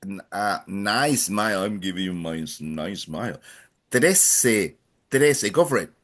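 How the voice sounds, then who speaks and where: A man's voice: fairly low pitch, lively intonation, measured pace, a bit bright. A man from Chile with a Chilean accent, a native Spanish speaker, teaching a language class in an encouraging, playful way with many fillers.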